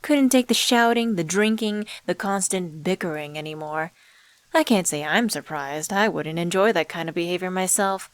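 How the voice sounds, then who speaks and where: A mysterious female stranger acted by a woman in an ASMR roleplay drama, speaking in a somewhat low voice with a very dry, close sound. She is suave and measured, posing rhetorical questions with teasing, smoothly condescending confidence, as if she knows everything about the listener. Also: dry recording, natural voice. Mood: smug, taunting. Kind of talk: scripted ASMR roleplay monologue spoken to the listener.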